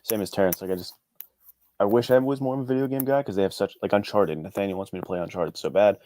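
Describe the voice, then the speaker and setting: A man's voice: on the low side, quick pace, dark tone. A man chatting casually as a podcast guest, informal and slangy, a little self-deprecating. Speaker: guest, indie adventure author. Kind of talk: casual chat.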